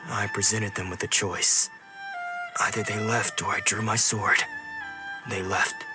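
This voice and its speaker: An actor playing a weary, troubled swordsman in a video game, speaking in a brooding, measured, reflective way. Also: lowish voice, little pitch movement, lightly acted. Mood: dry, curt. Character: weary, troubled swordsman who lost his memories. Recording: music behind the voice.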